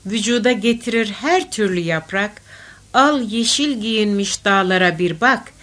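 Female poetry reader: unhurried, declamatory recitation of folk verse with a lively, wide-moving pitch.

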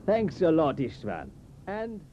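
A South African tour guide. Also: male voice, fairly high pitch, slow, heavily acted. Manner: relaxed, welcoming, with fillers. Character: friendly male expedition guide, adult. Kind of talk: scripted language-course dialogue. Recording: dull cassette tape with hiss.

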